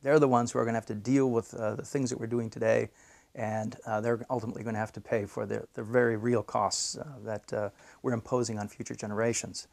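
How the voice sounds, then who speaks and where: Brief: man, fast talker, gravelly fry, hesitant fillers. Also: mid-range voice, level tone, a little mellow. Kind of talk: documentary interview.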